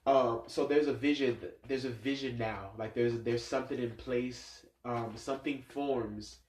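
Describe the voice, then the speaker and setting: A stuffed-up man reads tarot cards in a loose, rambling way, thinking aloud with plenty of fillers. His voice sits at an ordinary middle pitch. The room around him gives it a noticeable echo. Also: fairly even intonation, unhurried, darkish tone. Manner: musing, rambling, many fillers. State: stuffed-up nose. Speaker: tarot reader. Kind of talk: casual tarot reading vlog. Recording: echoey room sound.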